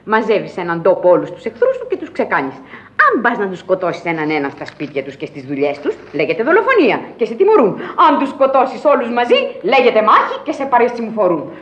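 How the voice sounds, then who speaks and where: A woman's voice: medium pitch, big pitch swings, a bit bright. An actress playing a batty, pompous older lady who lectures like a judge in a radio play. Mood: pedantic, emphatic. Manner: pedantic, emphatic, theatrical. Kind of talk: radio theatre acting. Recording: dull, lo-fi archival radio recording.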